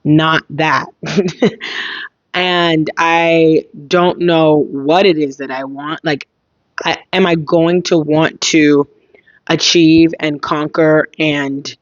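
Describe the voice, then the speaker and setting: Young woman podcaster, a bit hoarse, slow reflective rambling, dry dull-ish audio. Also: deep voice, steady tone, no echo. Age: young adult. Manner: reflective, thinking aloud. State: slightly hoarse. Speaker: podcast host. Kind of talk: casual solo podcast monologue.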